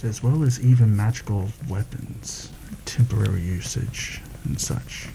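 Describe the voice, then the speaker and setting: A man playing a seasoned fantasy assassin in a soft-spoken ASMR roleplay, measured and deliberate, wary and proud. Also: on the low side, gravelly, background noise. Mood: focused, businesslike.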